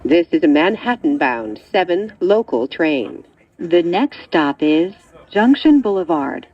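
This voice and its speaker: A woman reading scripted train announcements in a measured, segmented way. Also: lowish voice, big pitch swings, husky, muffled recording.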